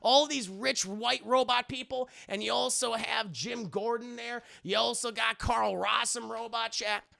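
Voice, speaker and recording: Excitable guy streamer doing a sweary show recap, bright sharp voice, tons of fry. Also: extremely high pitch, a little slow, hardly any pauses. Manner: excitable, profane, acts out characters and sound effects. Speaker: streamer and animation reviewer. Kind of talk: lively scene-by-scene recap for a live chat.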